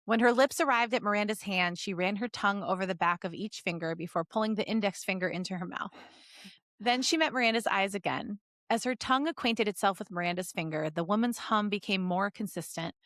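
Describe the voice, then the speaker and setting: A playful woman co-hosting a podcast, moving between expressive story reading and casual banter. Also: medium pitch, fairly quick, no echo, studio quality. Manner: playful, giggly. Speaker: podcast co-host reading fan fiction aloud. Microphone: close podcast microphone.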